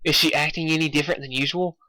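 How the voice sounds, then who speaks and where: A man's voice: on the high side, steady tone, rapid-fire, sharp tone, no echo, low-quality recording. Man as a bookish, lecturing male pony. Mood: cautious. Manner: reasoning, lecturing. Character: sensible, bookish young male pony, logical and concerned.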